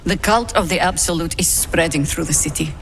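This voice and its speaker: Casting: actress for old veteran druid heroine, lowish voice, measured authority, wary edge, fantasy game. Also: female voice, slight background noise, natural voice. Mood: wary, grave. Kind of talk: fantasy video game dialogue.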